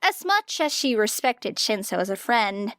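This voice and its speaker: A woman reading scripted fiction as an audiobook narrator, expressive, with deliberate emphasis on key phrases. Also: on the high side, dry recording, clean studio sound.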